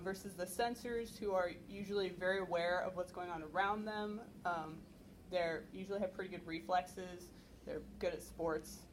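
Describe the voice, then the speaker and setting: A woman's voice: medium pitch, a little hiss or hum, poor audio. Young woman explaining with frequent ums. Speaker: convention panelist. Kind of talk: convention panel presentation. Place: convention panel room without microphones. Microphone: no microphone, recorded from the room.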